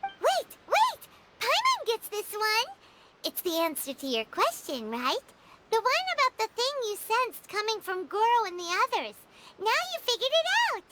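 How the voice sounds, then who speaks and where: A woman voicing a tiny childlike companion creature in a video game, squeaky, eager and know-it-all. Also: huge pitch swings, normal speed, heavily acted. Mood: excited, triumphant. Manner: eager, exclaiming, refers to self by name. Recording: loud noise behind the voice.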